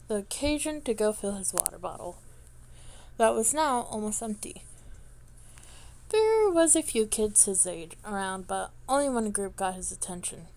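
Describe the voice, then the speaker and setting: A woman reading a story aloud as an amateur narrator, with a halting delivery that stumbles over words and goes back to reread them. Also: medium pitch, a little mellow. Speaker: amateur fan fiction reader.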